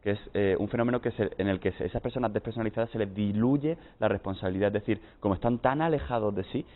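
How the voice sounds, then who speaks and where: Fast-talking Spanish man, tinny audio. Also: on the low side. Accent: Spanish (Spain). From Spain. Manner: explanatory, lists points with frequent "¿no?". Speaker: guest psychologist. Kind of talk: TV panel discussion.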